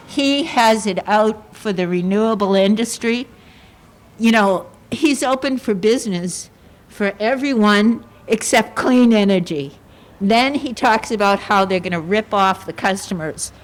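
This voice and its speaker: A woman giving an indignant, urging speech at a political rally. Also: medium pitch. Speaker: rally speaker.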